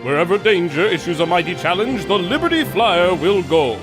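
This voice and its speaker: A man's voice: high voice, sing-song, normal speed. Guy doing big dramatic old radio announcer, really swooping delivery, music behind him. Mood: rousing. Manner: dramatic, grandiose. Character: grand, rousing male narrator of adventure serial. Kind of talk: old-time radio serial narration.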